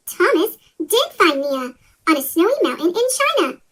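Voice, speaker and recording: A woman's voice: very animated, moderate pace, a bit bright. Chipmunk-voiced woman reading a children's story. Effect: sped-up chipmunk pitch-shift voice effect. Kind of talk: scripted read-aloud narration of a children's story.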